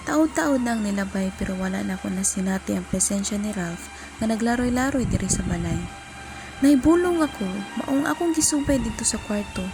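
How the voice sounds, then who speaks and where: A woman's voice: mid-range voice, lightly acted, poor audio. A woman playing a heartbroken young woman who narrates her own love story in a radio drama.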